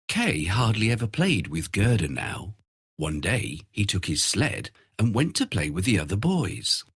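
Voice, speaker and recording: A man narrating a fairy tale from a script in an expressive storytelling manner. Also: on the low side, slightly rough.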